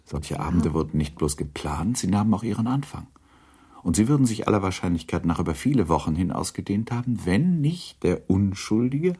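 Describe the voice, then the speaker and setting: A man narrating a literary radio drama in a measured, formal manner. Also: low voice, darkish tone, slightly rough.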